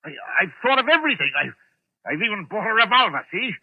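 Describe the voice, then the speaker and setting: A man's voice: high voice, very animated, quick pace, breathy edge, highs cut off, exaggerated voice. Haunted man in boxy vintage radio. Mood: frantic, haunted. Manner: agitated, stammering, insistent. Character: haunted, desperate wealthy man, stubborn and imperious. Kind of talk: vintage radio suspense acting. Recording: crackly old radio drama, boxy room echo.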